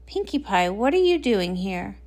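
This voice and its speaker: A woman's voice: medium pitch, big pitch swings, moderate pace, a little mellow, voice pushed down. A woman voicing an earnest, bookish male pony scholar who sounds hesitant and puzzled in a comic read-along.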